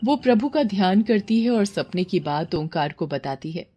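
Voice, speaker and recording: A woman's voice: mid-range voice, lively intonation, normal speed, dark tone, slight background noise. A woman giving scripted narration of a moral story as the storyteller. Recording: prominent music under the voice.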